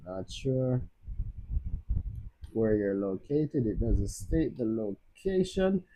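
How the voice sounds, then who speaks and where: A man's voice: on the low side, sing-song, slow, warm tone, dry recording, low-quality recording. A man with a Jamaican accent giving casual, rambling advice on a live stream, often restarting and filling with "uh" and "you know". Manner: rambling, many restarts and fillers. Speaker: livestream host.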